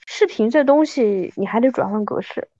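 A woman in her thirties chatting casually and reminiscing as a podcast guest, animated and self-mocking. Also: a little high, normal speed, no room sound, muffled recording. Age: 30s.

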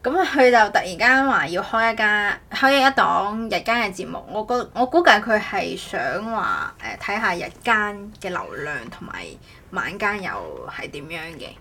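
A woman co-hosting a casual podcast, telling stories in an animated, rambling way. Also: mid-range voice, normal speed. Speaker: podcast co-host. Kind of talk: casual chat.

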